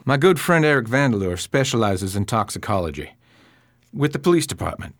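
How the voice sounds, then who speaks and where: A man's voice: lowish voice, big pitch swings, fairly quick, no room sound, clean studio sound. A man playing an earnest, determined, rather formal investigator in an amateur radio drama. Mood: concerned.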